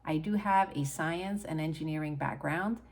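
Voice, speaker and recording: A woman's voice: low-pitched, moderate pace, darkish tone. A middle-aged woman from Hungary with a Hungarian accent, talking earnestly and encouragingly to camera as a channel host. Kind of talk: personal talk to camera.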